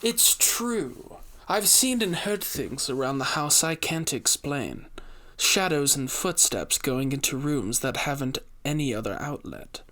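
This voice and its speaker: A man voicing a nervous, insinuating upper-class gentleman in an audio story, speaking in a formal, theatrical, halting way. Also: fairly high pitch, lively intonation. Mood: shaken. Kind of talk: audio fiction character dialogue.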